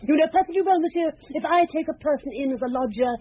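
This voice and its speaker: A woman's voice: high voice, fast, lightly acted. An actress with a British accent playing a haughty, indignant older French landlady in a radio drama. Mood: defensive. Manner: indignant, clipped, disdainful. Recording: dull, hissy radio drama recording.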